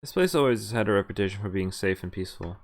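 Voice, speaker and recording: A man's voice: on the low side, expressive, quick pace. A man live-streaming a visual novel, reading the dialogue and narration aloud with playful, casual asides. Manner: playful, breaks into laughs and asides while reading. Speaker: game streamer. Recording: music behind the voice. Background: game background music.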